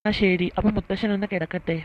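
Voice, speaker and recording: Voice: mid-range voice, fairly even intonation, fast talker, lightly acted. Actor as elderly cartoon grandpa, doting, conspiratorial, indulgent, tinny narrow-band recording. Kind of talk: cartoon skit dialogue. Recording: thin, phone-like narrow sound.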